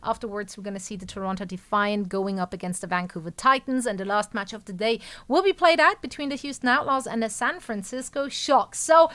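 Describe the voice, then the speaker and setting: A woman hosting a live esports desk show, upbeat and brisk, laughing easily with her co-hosts. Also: medium pitch, fairly bright tone. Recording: music bed behind.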